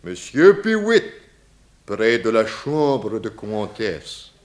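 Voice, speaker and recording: An eccentric Russian man in an old French radio mystery. His delivery is slow and swings widely in pitch, grand and cryptic like a stage philosopher. The accent is Russian and the grammar is broken. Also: sing-song, exaggerated voice. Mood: conspiratorial, dramatic. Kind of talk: 1960s French radio crime drama acting.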